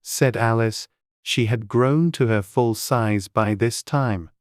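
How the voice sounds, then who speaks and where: A man's voice: medium pitch, slow pace, no room sound, clean studio sound. A man narrating a classic storybook as a scripted audiobook.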